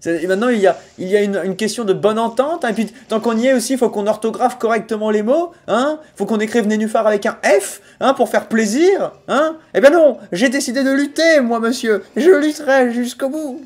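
A man's voice: extremely high pitch, brisk, hardly any pauses. A young man with an accent from the Sarthe, streaming a video game from a small room at home and chatting to viewers on a headset mic, mixing mock-outraged rants with laughter. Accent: Sarthe French. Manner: mock-outraged ranting, laughing often, bursts of loud excitement. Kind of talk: casual livestream chat while playing a video game.

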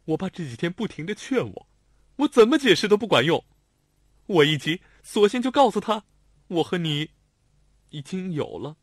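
Brief: man playing a young rich girl, lovesick, pleading and loud, pitched high, storybook reading. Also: big pitch swings, moderate pace, long pauses, dry recording, studio quality. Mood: defiant. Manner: pleading, raised, near shouting. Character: young woman, rich man's daughter, lovesick and insistent. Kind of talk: audiobook character voice.

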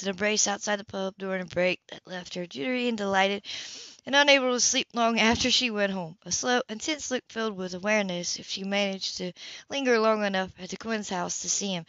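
A woman's voice: medium pitch, fairly bright tone, no room sound. A woman reading a romance novel aloud as an amateur audiobook narrator, occasionally stumbling over words. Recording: dull lo-fi home recording.

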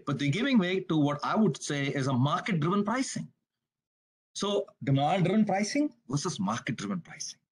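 Brief: man, webinar presenter on a video call, brisk and casual, voice sits a bit high. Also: breathy edge, low-quality recording. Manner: brisk, conversational, frequent "you gotta". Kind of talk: business webinar talk.

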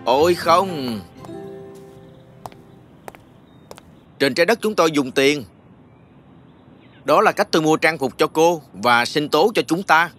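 Man playing kind, higher-voiced patient guide. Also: expressive, normal speed, fairly bright tone, soft background music. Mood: explaining. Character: kind, patient human man guiding a newcomer. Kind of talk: dubbed fantasy film dialogue.

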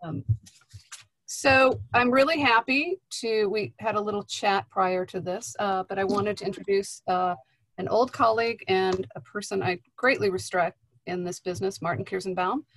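A woman's voice: medium pitch, steady tone, moderate pace, a bit bright, a little hiss or hum, low-quality recording. A woman professor hosting a remote class, chatty and friendly, with lots of hesitant fillers. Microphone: video call.

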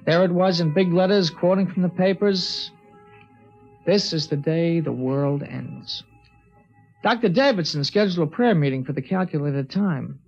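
A grave, thoughtful teenage boy telling his own story, in a 1950s radio drama. His voice is high but gravelly. The old broadcast sounds dull and muffled, with faint music underneath. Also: moderate pace, darkish tone. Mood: somber. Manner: earnest, reflective, somber. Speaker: actor.

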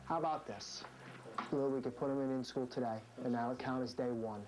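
An American man in his thirties, a high school principal, speaking in a stern, authoritative way. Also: fairly even intonation, fairly quick, a little mellow. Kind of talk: reality show narration and firm talk with a student. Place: school offices and locker room. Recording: noisy reality TV location sound.

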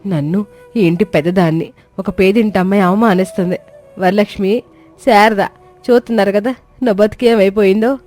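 A woman playing a scheming middle-aged mother-in-law in an animated moral story, scolding, sarcastic and self-pitying. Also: mid-range voice, moderate pace, soft background music, lightly acted. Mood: angry, aggrieved.

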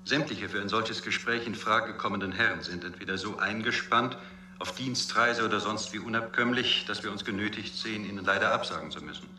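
A male corporate official in a science-fiction radio play. His voice is low and very sharp. He phrases everything with cool, officious precision, in a roomy studio sound. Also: brisk. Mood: coolly officious. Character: corporate official dictating a coolly formal refusal telex. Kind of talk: formal read-out in a radio drama.

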